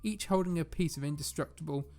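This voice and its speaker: Creaky-voiced, solemn fantasy lore-teller played by a man. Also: on the high side, fast talker, a little mellow, lightly acted. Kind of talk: visual novel voice acting.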